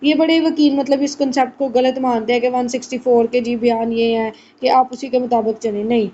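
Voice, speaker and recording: A woman's voice: fairly high pitch, steady tone, mellow. A woman lecturing on law, reading out formal text and explaining it conversationally. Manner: switches between slow English reading and conversational Urdu explanation. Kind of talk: explanatory lesson, reading out statutes and glossing them. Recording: muffled lo-fi audio with faint noise.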